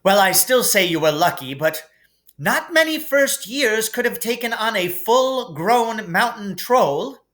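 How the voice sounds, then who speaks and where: A man's voice: high-pitched, animated, a little slow, a bit bright, pitched above the performer's own voice. A man voicing a stern, coldly angry older woman professor in a storybook reading, clipped and scolding.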